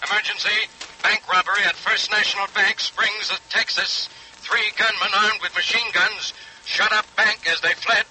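A man's voice: sits high, flat delivery, moderate pace, lightly acted. A man playing an urgent police dispatcher reading terse, staccato bulletins in a 1940s radio drama. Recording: noisy, harsh vintage radio recording.

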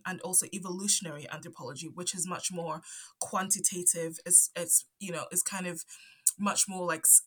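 A woman's voice: fairly low pitch, sharp tone. A woman in her twenties from Zambia with a stutter, answering interview questions hesitantly with many fillers and restarts. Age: 20s. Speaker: guest, anthropology PhD student.

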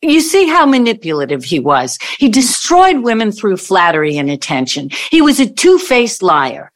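Woman acting older wronged ex in an audio play, halting then bitter and accusing, lively up and down pitch. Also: natural voice.

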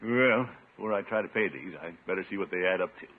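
An American actor playing a pompous, good-humored middle-aged uncle in a blustery, grumbling 1950s radio comedy style. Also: male voice, low voice, fast, lightly acted. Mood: grumbling, exasperated. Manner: blustery, chuckling, grumbling to himself. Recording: tinny, noisy 1950s radio recording.

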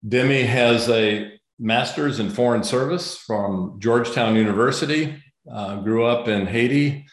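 A man hosting a podcast, reading a scripted opening and then asking a guest questions in a measured, steady way. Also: fairly low pitch. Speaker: podcast host. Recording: echoey room with faint music.

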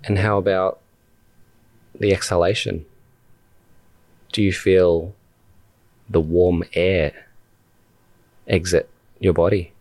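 A man leading a guided meditation as a podcast host, speaking calmly and deliberately in short phrases with long pauses. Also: deep voice, no echo.

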